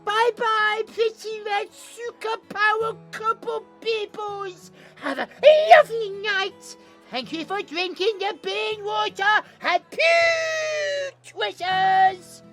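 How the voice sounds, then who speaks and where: A woman's voice: extremely high pitch, takes it slowly, over music. A woman voicing a quirky, childlike cafe worker in an audio drama, exuberant and singsong with odd broken phrasing. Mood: cheery.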